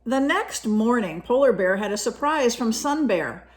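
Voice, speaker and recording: A woman with a fairly high, very lively voice reads a children's story as a teacher would. She is expressive and pauses for simple explanations aimed at young listeners. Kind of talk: children's storybook read-aloud with simple asides.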